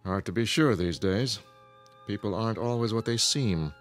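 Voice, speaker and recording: A male actor plays a genial older head of the family in an audio drama. He sounds urbane and knowing, with a fairly low voice. Faint music is underneath. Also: normal speed, lightly acted. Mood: musing, knowing. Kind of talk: radio drama acting.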